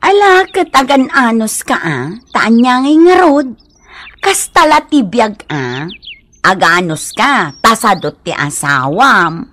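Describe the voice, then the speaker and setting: Slow-talking, cheerful village wife. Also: female voice, fairly high pitch, animated, fairly bright tone, full character voice. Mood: sympathetic. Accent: Ilocano. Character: cheerful, contented farm wife, sympathetic neighbor. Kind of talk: Ilocano radio drama acting.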